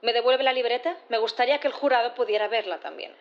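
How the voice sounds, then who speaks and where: Woman playing a high-voiced, composed female prosecutor. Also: fast, muffled recording, lightly acted. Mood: insistent, accusing. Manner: formal, methodical, insistent. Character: composed, probing female prosecutor in a sci-fi courtroom. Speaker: actor in audio fiction. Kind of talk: audio drama acting, courtroom questioning.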